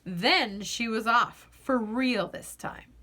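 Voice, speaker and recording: A woman reading a children's picture book aloud as an expressive narrator, with deliberate phrasing and dramatic pauses. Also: a little high. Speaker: children's storybook reader.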